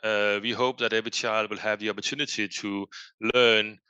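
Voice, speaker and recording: A man's voice: fairly low pitch, little pitch movement, fairly quick, pushed and bright, smooth, no room sound, highs cut off. A middle-aged man from Denmark with a Danish accent, a guest on a podcast interview, speaking thoughtfully with many fillers.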